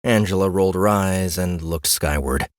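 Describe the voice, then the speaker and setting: A man narrating a scripted fantasy audiobook in an expressive, deliberate storytelling manner. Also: deep voice, no room sound, clean studio sound. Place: dry studio.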